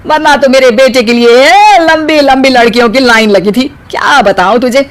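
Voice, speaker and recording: A woman's voice: high voice, moderate pace, natural voice. A woman voicing a boastful, mocking middle-aged mother-in-law in a cartoon story. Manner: taunting, scornful. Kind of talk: cartoon voice acting.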